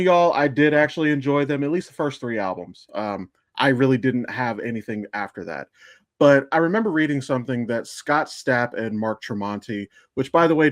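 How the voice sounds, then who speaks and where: A man's voice: mid-range voice, no echo, studio quality. A man hosting a casual podcast, giving his opinions bluntly and speaking straight to the listeners. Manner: blunt, sweary, addresses listeners directly. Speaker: podcast host.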